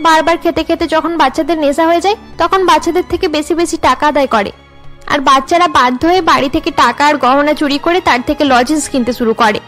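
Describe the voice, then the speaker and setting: A troubled young college student in a cartoon drama. The voice is high, earnest and downcast, with music behind it. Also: female voice, fast talker, fairly bright tone. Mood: earnest, concerned.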